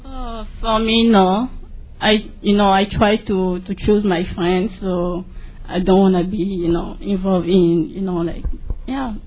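A woman's voice: mid-range voice, steady tone, a little slow, husky. A young woman giving an informal answer as a student panelist, hesitant and repeating herself. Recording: dull lo-fi, faint noise.

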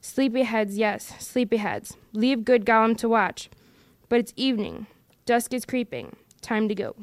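A woman's voice: on the high side, animated, a little slow, no echo. A woman voicing a wretched, split-minded male creature who wheedles and argues with himself in an audiobook reading. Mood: fawning. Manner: wheedling, hissing self-argument.

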